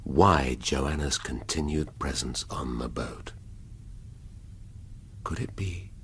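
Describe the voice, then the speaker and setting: An actor with an English accent playing a brooding middle-aged police detective in a radio drama, musing in clipped half-sentences. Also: male voice, very deep voice, animated, takes it slowly, fairly bright tone, a little hiss or hum, full character voice. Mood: musing, muttering.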